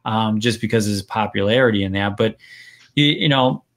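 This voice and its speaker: A man's voice: lowish voice, a little fast, a bit bright. A man from Wisconsin with a Wisconsin accent, hosting a casual interview and rambling with frequent restarts. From Wisconsin, USA. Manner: rambling, restarts sentences often. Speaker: podcast host.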